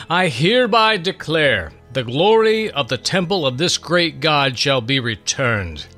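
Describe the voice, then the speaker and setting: A man voicing a proud, awestruck ancient king who proclaims his decrees in a grand, deliberate manner in a dramatic Bible story. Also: fairly high pitch, sharp tone, voice pushed up, full character voice.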